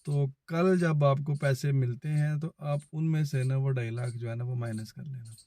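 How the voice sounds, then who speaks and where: A man's voice: mid-range voice, fairly even intonation, normal speed, mellow, no echo. A man with a Pakistani accent telling a personal story in a casual, conversational way, quoting back-and-forth dialogue as he goes. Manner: conversational, acts out both sides of remembered phone conversations. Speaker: vlogger.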